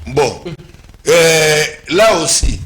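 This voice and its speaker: A Congolese French-accented man with a bright, forceful voice, pitched a little high for a man. He lays out his legal reasoning step by step in a measured, explanatory way on a talk show, mixing in Lingala phrases. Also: animated. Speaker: political commentator. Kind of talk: studio political discussion.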